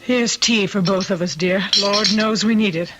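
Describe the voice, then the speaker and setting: A woman's voice: mid-range voice, moderate pace, over music, close to an everyday voice. Casting: actress for anxious mother of a grown daughter, middle-aged, mid-range voice, earnest and fussing, vintage radio play. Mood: gentle, probing. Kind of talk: old-time radio drama acting.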